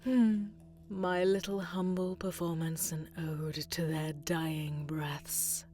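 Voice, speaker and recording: Slow, menacing alien tyrant queen played by an actress. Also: fairly low pitch, flat delivery, a little mellow, soft background music. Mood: wistful, sinister. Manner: slow, menacing, breathy whispered delivery. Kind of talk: audio drama acting.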